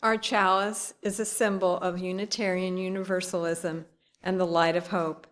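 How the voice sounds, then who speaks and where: A woman's voice: on the low side, level tone, normal speed. A woman giving a short formal introduction to a ritual in a worship service. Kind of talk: formal liturgical speech.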